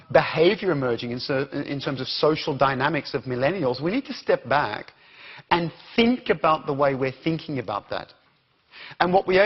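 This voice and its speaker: Australian male keynote speaker, husky, higher-pitched, dull lo-fi capture. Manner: animated, questions the audience. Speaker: conference speaker. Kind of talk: interactive keynote talk.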